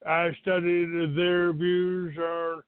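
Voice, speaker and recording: An older American man from Texas hosting a talk show, giving a rambling, halting monologue to his listeners. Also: fairly high pitch, flat delivery, clean tone. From Texas, United States. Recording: roomy, tinny phone-like line.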